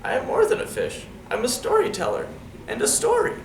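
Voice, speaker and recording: A man's voice: very high-pitched, huge pitch swings, fairly quick, gravelly, voice pushed up, exaggerated voice. A man voicing a giant, wise old talking fish from a storybook, earnest and pleading. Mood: urgent pleading. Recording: roomy, distant mic, noisy background.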